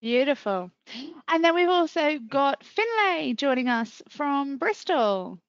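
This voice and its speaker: A woman's voice: sits high, sing-song, slow, dry recording, muffled recording. A woman from southern England hosting a webinar in a chatty way, with many ums, heard over a video call. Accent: southern English. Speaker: webinar host.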